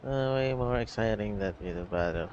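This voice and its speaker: A man's voice: lowish voice, normal speed, a little mellow, highs cut off, slight background noise. A man who is a native Tagalog speaker, reacting casually as he plays a game on a livestream. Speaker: streamer playing a game. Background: game music.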